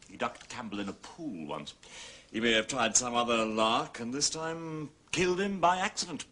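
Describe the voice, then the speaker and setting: An actor playing an authoritative, impatient senior police chief, brisk and commanding, in a British period detective drama. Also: male voice, mid-range voice, animated, pushed and bright. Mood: reasoning.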